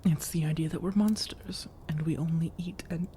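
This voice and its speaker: A woman playing an elegant, long-lived female vampire in a soft-spoken ASMR roleplay, breathy and often whispering, with measured, formal, persuasive phrasing. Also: low voice, fairly even intonation, slight background noise, natural voice. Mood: indignant, composed. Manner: measured, deliberate, formal, coaxing.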